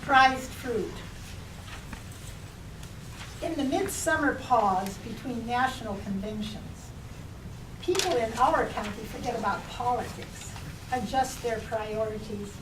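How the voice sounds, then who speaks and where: A woman poet reading her own work to an audience, phrasing it in a measured, deliberate way. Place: public library reading room. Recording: roomy, distant mic, noisy with rumble.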